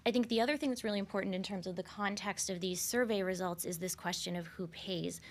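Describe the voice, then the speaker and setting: A soft-spoken woman speaking as a panelist at a press event, explaining policy in a measured way into a table microphone. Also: medium pitch. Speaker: panelist, researcher. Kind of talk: panel discussion remarks. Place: conference room at a press event.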